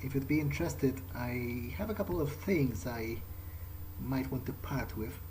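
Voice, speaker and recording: A man's voice: medium pitch, animated, measured pace, slight background noise. A man playing a video game in character, thinking aloud in hesitant, muttered asides to himself. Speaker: gameplay streamer role-playing in character. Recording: loud game music behind.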